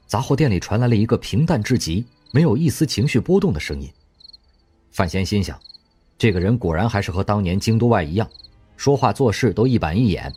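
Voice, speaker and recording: Lively low-voiced male narrator. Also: a little fast, faint music behind. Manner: expressive storytelling. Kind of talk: scripted audio drama narration.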